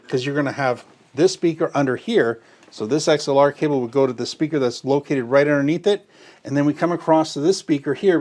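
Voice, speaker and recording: A middle-aged man giving a hands-on tutorial on connecting speakers, explaining step by step with everyday analogies. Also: a little high. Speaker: audio gear demonstrator.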